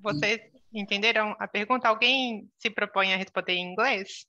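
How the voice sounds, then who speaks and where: A woman speaks in a bright, forward voice at medium pitch. She is helping out as an interpreter. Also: a little fast, highs cut off.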